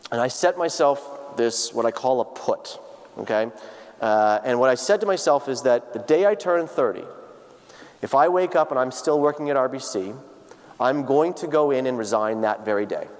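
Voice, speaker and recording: A man's voice: medium pitch, lively intonation, moderate pace, a little mellow. A man in his thirties speaks earnestly to a large audience, telling a personal story with frequent restarts and fillers. His voice sits in the middle of the male range, and the lecture hall around him adds a long, trailing reverb. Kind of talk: plenary lecture to students.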